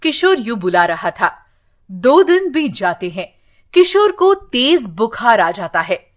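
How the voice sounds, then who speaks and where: A woman reads a moral tale as a storyteller. Her voice is high and swings expressively, and she takes her time, giving the narration a dramatic shape. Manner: slow, expressive, dramatic reading.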